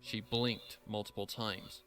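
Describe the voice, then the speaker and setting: A man narrating a dramatic scripted story in a measured storytelling style. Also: on the low side, flat delivery, fairly bright tone. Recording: voice over background music.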